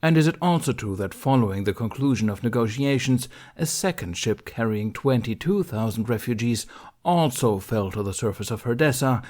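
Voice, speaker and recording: A man's voice: mid-range voice, voice pushed up, natural voice. A man voicing a stern, exasperated council master in an audiobook, formally interrogating in a businesslike, accusing way. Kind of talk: audiobook character dialogue.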